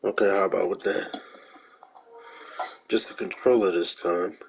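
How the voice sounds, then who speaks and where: A congested man chatting quietly at home early in the morning as he starts a game stream. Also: sits low, steady tone, unhurried, gravelly, a little hiss or hum. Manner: quiet, trying not to be loud. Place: home room.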